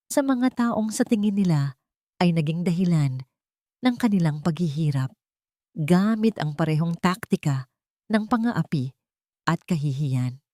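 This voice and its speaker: Low-voiced Filipino woman narrating drama. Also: a little mellow, no room sound, clean studio sound. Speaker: storyteller. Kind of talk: dramatic scripted romance story narration.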